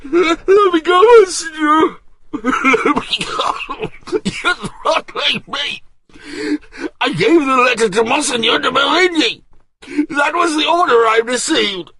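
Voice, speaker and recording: A man reading aloud voices a terrified male servant, shrill and stammering in panic. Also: slow, bright, forceful tone, exaggerated voice.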